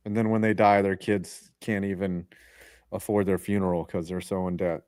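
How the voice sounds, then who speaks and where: A man with a low voice talks sports in a blunt, jokey way, swearing freely. The sound is dry and close, with no room to it. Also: flat delivery, moderate pace, darkish tone, dry recording. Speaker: podcast co-host. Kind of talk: casual sports chat.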